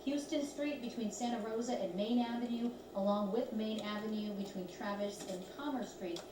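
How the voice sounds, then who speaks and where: A woman's voice: medium pitch, normal speed. A woman with an American accent presenting morning news and traffic in a chatty broadcast style. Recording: echoey studio feed, distant, voices around.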